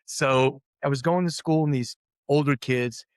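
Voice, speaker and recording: A man's voice: medium pitch, fairly even intonation, unhurried, no room sound, studio quality. A middle-aged man from Lithuania, raised in Philadelphia, telling his life story openly and with self-deprecating humor as an interview guest. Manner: open, self-deprecating, acts out quoted voices. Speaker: guest, company CEO.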